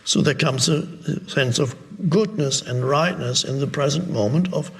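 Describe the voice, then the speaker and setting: A man giving an unscripted spiritual talk as a teacher, reflective and halting, pausing and rephrasing as he goes. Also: a little high, vocal fry. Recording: long reverberant hall echo.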